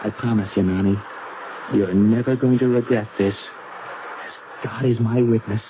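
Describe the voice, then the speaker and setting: A man's voice: fairly low pitch, fairly even intonation, measured pace, close to an everyday voice. An actor in a radio drama playing a determined, reassuring lover, urgent and confident as he coaxes his sweetheart. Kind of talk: 1970s radio drama acting. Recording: dull, noisy old radio recording.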